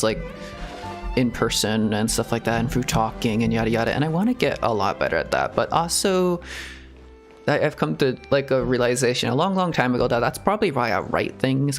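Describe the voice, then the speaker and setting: A man streaming a game, chatting in a casual, confessional way, hesitant and rambling, often chuckling at himself. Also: mid-range voice. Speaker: streamer reacting to a video game. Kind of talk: casual, confessional chat while playing.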